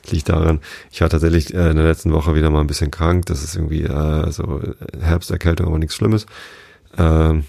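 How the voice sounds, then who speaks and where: Hoarse male podcast host, very low gravelly voice, rambling casual delivery, dry close sound. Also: moderate pace, no echo. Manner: rambling, relaxed, many fillers and restarts. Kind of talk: casual sleep podcast chat.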